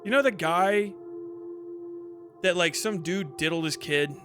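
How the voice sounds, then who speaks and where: A man livestreaming casual, profane commentary and reacting to chat, animated and sarcastic as he slips into imagined quotes. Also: sits high, a little slow, music in the background. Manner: profane, slangy. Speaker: live streamer.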